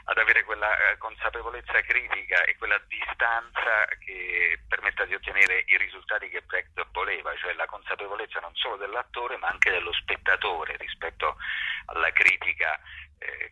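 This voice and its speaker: A man giving explanatory interview answers in a halting, thoughtful way, searching for his phrasing as he goes. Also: deep voice, fairly even intonation, sharp tone, muffled recording, slight background noise. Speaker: actor and workshop teacher being interviewed.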